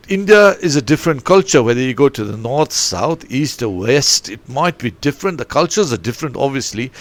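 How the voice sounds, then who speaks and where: A man's voice: fairly high pitch, no echo. A man in his forties who grew up in Eswatini and works as a football coach, talking in a rambling, digressive way with many restarts. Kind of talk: rambling podcast monologue.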